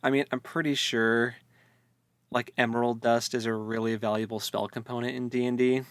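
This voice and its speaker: A man's voice: mid-range voice, little pitch movement, moderate pace, smooth, no echo. A man co-hosting a casual book club podcast, laid-back and chuckling, chiming in with short agreeing replies. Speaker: podcast co-host.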